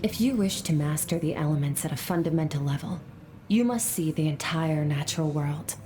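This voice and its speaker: A woman voicing a stern, composed female sword mentor in a game, speaking in a calm, measured, instructive way. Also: sits low, music in the background, close to an everyday voice. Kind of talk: game cutscene voice acting.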